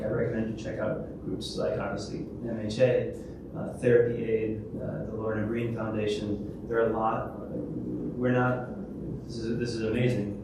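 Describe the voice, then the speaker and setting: Man, conference speaker, hesitant, flat delivery, big echoey room, background noise. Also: on the low side, gravelly. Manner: hesitant, many fillers and restarts. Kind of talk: presentation and Q&A. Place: large event hall. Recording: echoey hall from a distance, noisy.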